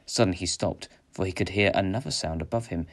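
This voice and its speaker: Male storyteller, fairly deep voice, expressive narration for a children's tale. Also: fast. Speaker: story reader.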